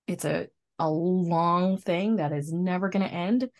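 Low-voiced young woman, casual podcast co-host. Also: unhurried. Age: 20s. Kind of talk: casual chat.

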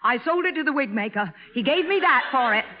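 Headstrong teen tomboy, old time radio drama, thin muffled sound. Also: female voice, high-pitched, expressive, a little fast. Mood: breathless, defiant. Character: impetuous, tomboyish teenage girl, devoted and blunt. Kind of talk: 1940s American radio drama acting.

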